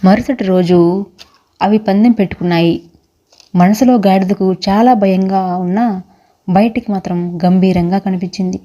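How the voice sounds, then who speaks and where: Casting: woman narrator for scripted children's fables, mellow, average-pitched voice, lo-fi recording. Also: normal speed, long pauses. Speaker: story narrator.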